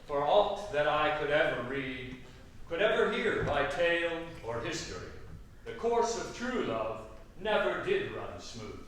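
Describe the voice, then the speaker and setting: A man playing an ardent young lover, declaiming Shakespearean verse emphatically in drawn-out phrases at a staged reading. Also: on the high side, sing-song, fairly bright tone. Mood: tender, earnest. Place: echoey room at a theatre camp reading. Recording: cavernous echo, distant mic, faint noise.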